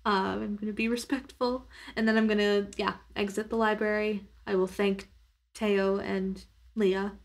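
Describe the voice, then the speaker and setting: A woman's voice: mid-range voice, level tone, moderate pace. Woman playing a casual tabletop game. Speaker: player in tabletop role-play podcast. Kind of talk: casual chat and in-character improvisation.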